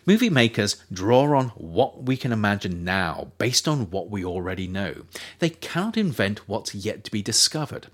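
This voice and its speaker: A middle-aged man reading his own book as a scripted audiobook, in a measured, reflective, formal way. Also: fairly low pitch, big pitch swings.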